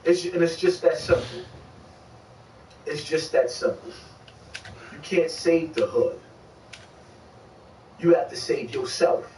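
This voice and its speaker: A man delivers emphatic, preachy street commentary in the manner of a motivational vlogger. He leans on repeated catchphrases. His mid-pitched voice sounds distant and echoey, with a little background noise. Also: mellow.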